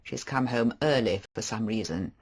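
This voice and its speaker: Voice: mid-range voice, moderate pace, husky. A reader voicing a cold, secretive woman housekeeper with short, guarded instructions. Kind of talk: audiobook character voice.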